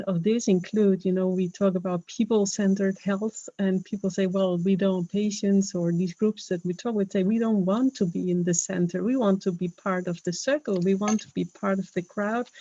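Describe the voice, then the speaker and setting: A woman's voice: medium pitch, dark tone, dry recording, muffled recording. A woman from Denmark with a Danish accent, speaking English as a second language in a webinar talk, earnest and hesitant, with many fillers and restarts. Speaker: webinar speaker, health professional.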